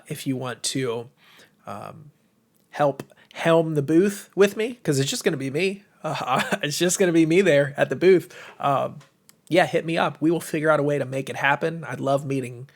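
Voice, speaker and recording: An American man with a tired, slightly hoarse voice chats casually and enthusiastically as the host of a livestream, rambling informally to viewers. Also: a little high. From United States. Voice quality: tired, strained voice. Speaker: livestream host, game publisher.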